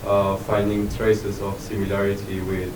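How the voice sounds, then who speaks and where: A man from Chile, asking a question from the audience slowly and haltingly. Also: lowish voice, completely flat delivery. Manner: slow, halting, searching for words. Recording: distant echoey room with noise.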